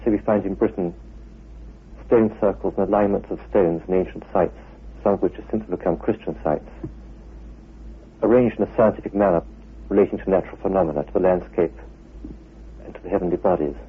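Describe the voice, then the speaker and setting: A man giving an expository talk as an expert, in deliberate phrases that he often restates. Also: deep voice, breathy edge. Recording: narrow, phone-like old radio recording.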